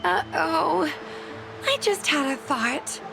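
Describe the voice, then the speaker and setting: A woman voicing an uneasy, expressive young female ghost hunter in an anime-style game. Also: very high voice, slow, gravelly, over music, full character voice.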